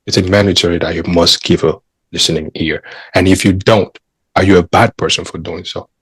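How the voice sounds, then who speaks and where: A man's voice: sits low, fairly even intonation, a little fast, fairly bright tone, husky. A man co-hosting a podcast, chatting casually with a teasing, joking manner. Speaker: podcast co-host. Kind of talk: casual chat.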